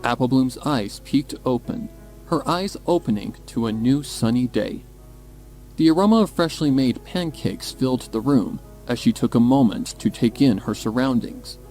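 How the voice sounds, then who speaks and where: Guy reading horror fanfic, narrator style, medium pitch, quiet music behind. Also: lively intonation, moderate pace. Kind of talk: scripted horror fan fiction narration.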